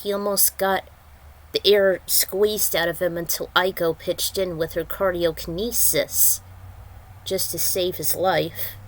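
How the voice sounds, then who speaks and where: A woman reading fan fiction aloud and giving casual recaps in a deliberate, halting manner. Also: fairly low pitch, fairly bright tone, poor audio. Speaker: fan fiction author and reader.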